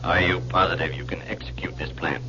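An actor playing a cold, secretive Martian agent in a 1950s radio drama, terse and formal. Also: male voice, low-pitched, fairly even intonation, fairly quick, sharp tone. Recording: muffled, hissy 1950s radio recording.

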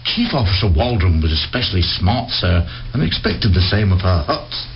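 An actor playing a chatty, deferential police sergeant with a rambling, formal manner in a radio play. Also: male voice, deep voice, very animated, normal speed, faint music behind. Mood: deferential, eager. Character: deferential, chatty male police sergeant, loyal ex-navy man. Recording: noisy, muffled radio play recording.

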